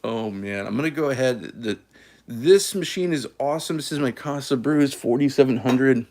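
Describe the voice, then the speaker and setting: A man's voice: medium pitch, moderate pace, a little mellow, a little hiss or hum. A man from California hosting a casual coffee live stream, easygoing and folksy, with many fillers and restarts. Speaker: coffee channel host.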